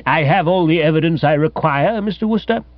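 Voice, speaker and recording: A pompous old English gentleman, played by a male narrator in a comic audiobook. He is stiff, haughty and full of accusation. The sound is dry and somewhat dull. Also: on the high side, brisk, no room sound, voice pushed up, close to an everyday voice. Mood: irate. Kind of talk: comic audiobook character acting.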